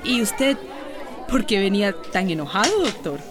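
A woman playing a doctor's observant, gently teasing helper in a radio drama, conversational and inquisitive. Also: medium pitch, lively intonation, moderate pace, crowd noise, lightly acted. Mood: curious, concerned.

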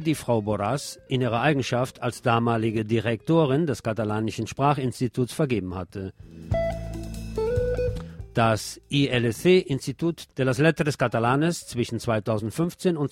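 A man presenting scripted radio news commentary as host, wry and deliberate, with emphatic phrasing. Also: medium pitch.

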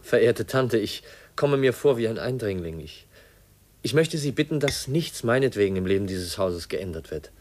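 An actor playing a shy, awkward young heir in a 1950s radio drama, speaking hesitantly and politely. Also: male voice, on the low side, lively intonation, slight background noise, close to an everyday voice. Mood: nervous, formal. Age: young adult. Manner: hesitant, halting, polite, murmuring inner monologue.

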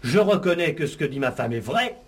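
An actor playing a defensive, irritable husband accused of murder in a 1960s French radio police play, protesting and stammering when pressed. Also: male voice, on the high side, lively intonation, a little fast, lightly acted. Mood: indignant protest.

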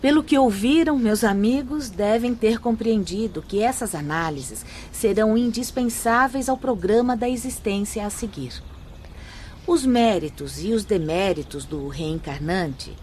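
An actress playing a wise, gentle spirit teacher in a Brazilian radio drama, speaking formally and with measured, didactic care. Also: female voice, medium pitch, a little hiss or hum, lightly acted. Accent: Brazilian Portuguese. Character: wise, gentle woman spirit instructor explaining afterlife procedures.

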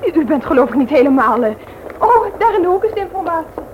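A woman's voice: high voice, very animated, fast. An actress playing a polite, puzzled young woman, a stranger at a station, in a Dutch radio play. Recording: tinny phone-like sound, noisy old recording.

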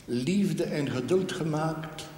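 Slow, pompous parish priest, echoing hall. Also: male voice, on the high side, warm tone. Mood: stern, disapproving. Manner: formal, pompous, ornate wording with French phrases. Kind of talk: television period drama acting. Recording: echoey hall, long reverb, faint noise.

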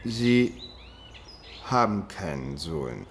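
A man's voice: low-pitched, sing-song, drawn-out words, a little hiss or hum, full character voice. A man playing a nosy, dogged amateur investigator in a radio drama, with a blunt, insistent, probing manner. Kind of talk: naturalistic radio drama acting.

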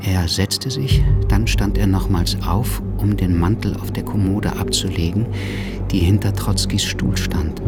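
An actor playing a writer who narrates a literary radio play in a grave, measured, suspenseful manner. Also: male voice, on the low side. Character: grave male writer narrating his story. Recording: clear music behind.

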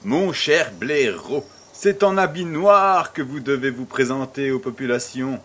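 A man acting as a pompous, jovial baron in a French audio drama, speaking in a ceremonious, deliberate way. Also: mid-range voice, animated, a bit bright. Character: pompous, jovial male baron hosting a charity fête.

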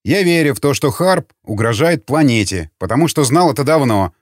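A man's voice: medium pitch, expressive, normal speed, no room sound, clean studio sound, pitched above the performer's own voice, close to an everyday voice. A man voicing a blunt, decisive councilman in an audiobook, speaking in an emphatic, resolute way. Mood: excited, insistent. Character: blunt, decisive male councilman eager to act. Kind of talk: audiobook character acting.

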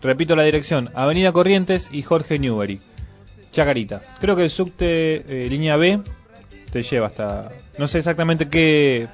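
Guy co-hosting radio, voice a bit high, reading out info kinda hesitantly, soft music behind. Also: expressive, moderate pace. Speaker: radio co-host. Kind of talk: casual live radio announcements. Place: radio studio.

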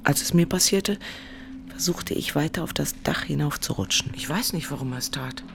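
A woman's voice: low voice, moderate pace, creaky. Haunted woman flood survivor over noisy ambience. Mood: grave, pained. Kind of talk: radio drama monologue, rhythmic poetic testimony.

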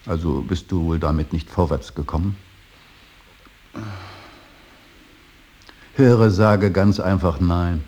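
An actor playing a stern, brooding old painter and father in a radio drama, solemn and intense, moving between hushed breathy words and forceful declaiming. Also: low-pitched, normal speed, natural voice. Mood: stern reproach. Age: older. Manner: solemn, intense, swings from hushed whisper to outburst. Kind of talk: 1980s German radio drama acting. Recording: hissy radio drama recording.

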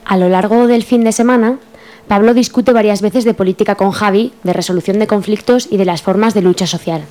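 A woman's voice: medium pitch, fairly even intonation, a little fast, a little mellow. A woman with a Spain accent giving scripted narration for a radio drama. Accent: Spain Spanish.

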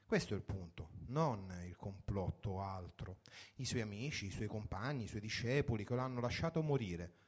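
A man's voice: on the low side, animated, moderate pace, slightly rough. A man reading a first-person story for radio in a reflective, wry manner, in short sentences. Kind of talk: dramatic literary reading for radio.

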